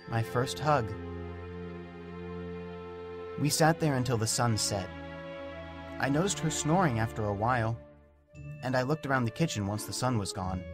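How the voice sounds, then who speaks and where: A man narrating a scripted story in character as an innocent, gentle imaginary dog, with a childlike, earnest, simple way of telling it. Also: medium pitch. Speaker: narrator and actor. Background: music.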